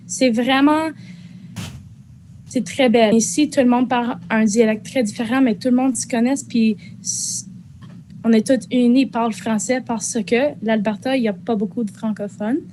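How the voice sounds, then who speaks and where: A teenage girl from northern Ontario, a high school student speaking casually and hesitantly, with many fillers. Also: fairly even intonation, moderate pace, darkish tone, slight background noise. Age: teens. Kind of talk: spoken testimony.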